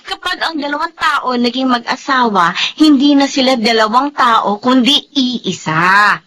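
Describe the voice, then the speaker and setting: A woman's voice: sits high, lively intonation, measured pace, bright, forceful tone, heavily acted. A woman playing a female friend who scolds and lectures a young wife, in a radio drama. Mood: scolding.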